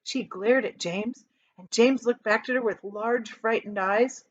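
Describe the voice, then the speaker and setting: Female storybook reader, teacherly, high-pitched and expressive, on a slightly dull lo-fi recording. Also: measured pace. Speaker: teacher reading a children's book aloud. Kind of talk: expressive storybook read-aloud.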